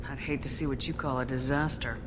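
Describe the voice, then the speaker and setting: An actress playing a stern, commanding space military commander in a video game, blunt and probing. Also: female voice, extremely low pitch, fairly even intonation, brisk, background noise. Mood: reproachful. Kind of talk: dramatic video game dialogue acting.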